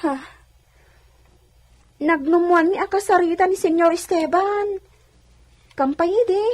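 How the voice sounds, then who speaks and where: An extremely high, girlish voice for an eager young village bride-to-be. She speaks respectfully and deferentially, in the style of a radio comedy drama. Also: takes it slowly.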